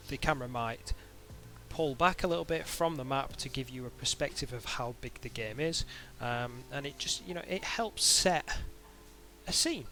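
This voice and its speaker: Bright-voiced man giving a halting tutorial. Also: medium pitch. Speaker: tutorial instructor. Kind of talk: informal software tutorial narration.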